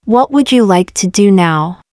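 A woman with a mid-range voice reads practice questions for a language lesson. The recording is dry and close-miked. Her reading is measured and clear. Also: mid-range voice, expressive, a little mellow, no room sound. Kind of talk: slow repeated example questions for learners.

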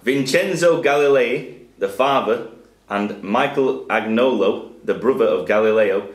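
A man's voice: medium pitch, measured pace. A man with a Northern English accent reading quiz questions to players in a social club, in a dry, plain way. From England. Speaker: quizmaster. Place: social club function room with a table of players. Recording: roomy hall sound with faint background noise.

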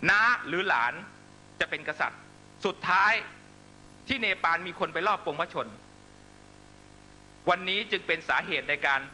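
A man's voice: sits high, normal speed, long pauses, pushed and bright, slight background noise, poor audio. A man delivering a fiery political speech to supporters, accusatory and emphatic, constantly pressing listeners with rhetorical questions. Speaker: political rally speaker.

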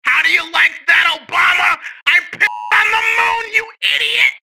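A pompous cartoon villain in a furious parody rant. The voice is very high for a man, piercing and gravelly, with theatrical bellowing over slight background noise. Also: moderate pace, heavily acted. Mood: manic shouting. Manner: ranting, bombastic, theatrical shouting. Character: furious, crude, pompous male cartoon mad-scientist villain. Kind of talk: comedic parody dub rant. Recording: slightly noisy audio.

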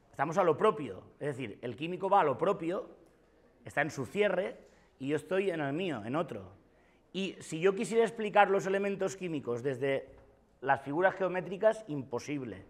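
A man in his forties speaks Spanish with a Valencian accent, lecturing students in a classroom with emphatic, repeated points. His voice sits somewhat high for a man. He is picked up by a camera across the room. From Valencia, Spain. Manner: emphatic, gesturing, often repeats himself. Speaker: philosophy lecturer. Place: classroom with whiteboard. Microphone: far camera.

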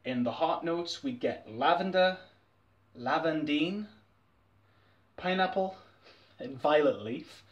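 A man's voice: a little high, slow pace, long pauses, muffled recording. A young man, chatting candidly and laughing often as he reviews a fragrance in a small bedroom. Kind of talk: casual review vlog. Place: small dim bedroom, indoors.

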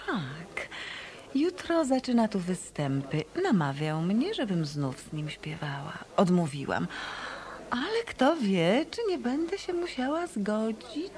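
An actress playing a self-assured, sardonic, tough nightclub singer in a hard-boiled radio play. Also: female voice, mid-range voice, sing-song, takes it slowly, a little mellow. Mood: sardonic, cool. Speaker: radio drama actor.

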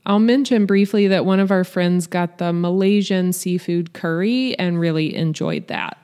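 A woman with a fairly low voice narrates a restaurant review. She talks evenly and conversationally, in a matter-of-fact way. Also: darkish tone, clean tone. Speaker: vlog host, restaurant reviewer. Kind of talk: scripted voice-over review.

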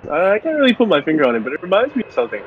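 Man with wildly swinging pitch, joking around. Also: huge pitch swings, rapid-fire, narrow, tinny audio, slight background noise. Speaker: amateur fandub performer. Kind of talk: improvised comedic fan dub. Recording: music behind the voice.

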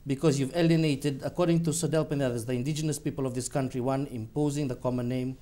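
A man in his thirties interviews a guest in a TV studio, pressing him with challenging questions. He talks quickly, in a dark, mellow voice. He is close on a lapel mic. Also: medium pitch, steady tone. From Fiji.

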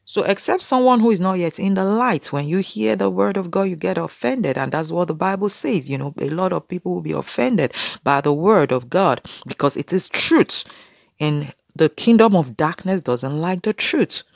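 A woman's voice: fairly low pitch, lively intonation, moderate pace, gravelly, no echo, studio quality. A woman in her thirties preaching casually to camera from a small room, earnest and exhorting, with many "you know" fillers.

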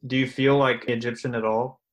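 A man's voice: mid-range voice, flat delivery, fairly quick. Casual young male podcast host. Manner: easygoing, filler-heavy questions. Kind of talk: casual interview.

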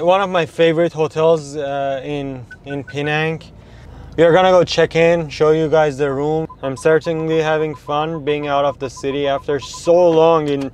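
A man in his twenties talking casually as a vlog host, with hesitant pauses. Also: on the high side, a little hiss or hum. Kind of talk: casual road-trip vlogging. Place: mostly inside a car. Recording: music over the voice. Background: music.

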